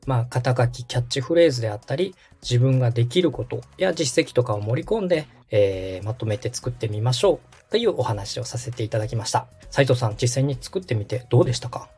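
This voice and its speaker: A man in his thirties from Osaka hosts a podcast, walking his guest through the basics politely and patiently. His voice is mellow and slightly husky, with a lively rise and fall in pitch. Faint music plays behind him. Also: a little fast, few pauses. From Osaka, Japan. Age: 30s. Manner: polite, patient, step-by-step explaining. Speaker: podcast host, radio director. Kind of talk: explanatory podcast talk.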